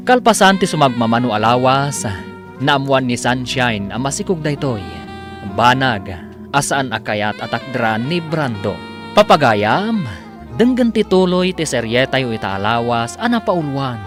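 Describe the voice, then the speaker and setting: Casting: male radio drama announcer, Filipino accent, lively swooping delivery of scripted narration, music clearly underneath. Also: medium pitch, a little slow.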